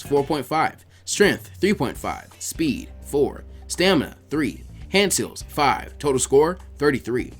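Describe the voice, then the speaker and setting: Lively, higher-pitched man narrating a story. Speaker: fan fiction narrator.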